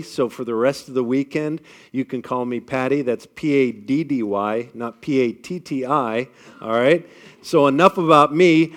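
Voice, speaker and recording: A man from the US Midwest with a big booming voice, leading an audience through an icebreaker at a live event and checking in with "all right?" as he goes. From Midwestern United States. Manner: energetic, upbeat crowd-rallying with frequent "all right?" checks. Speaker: event speaker leading the group. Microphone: amplified on a microphone.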